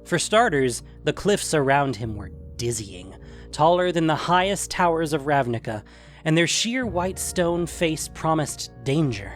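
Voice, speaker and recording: A man's voice: fairly high pitch, lively intonation, unhurried, faint music behind. A man narrating a scripted fantasy adventure story.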